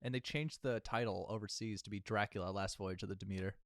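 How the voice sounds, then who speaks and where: A man's voice: on the low side, steady tone, quick pace, crowd noise. A man co-hosting a podcast in casual chat, talking like a knowledgeable enthusiast. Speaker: podcast co-host.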